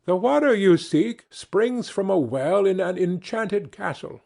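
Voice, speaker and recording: Man putting on a high-pitched little dwarf, sly and commanding. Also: expressive, unhurried, creaky, darkish tone, heavily acted. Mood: helpful, instructing. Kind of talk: fairy-tale storybook character voice.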